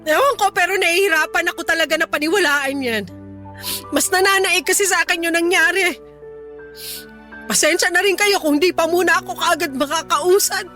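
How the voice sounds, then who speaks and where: A resentful, dutiful daughter in a Filipino radio drama, with a very high, bright voice. She speaks with a Filipino accent and pleads emotionally, like someone who has given too much to her family. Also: brisk, soft background music. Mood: subdued, resigned.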